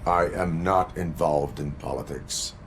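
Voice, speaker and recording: An actor playing a stoic armored cyborg police officer in a video game, speaking in a stiff, formal, measured and emotionless way. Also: male voice, a bass voice, steady tone, a little hiss or hum. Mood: flat, stoic.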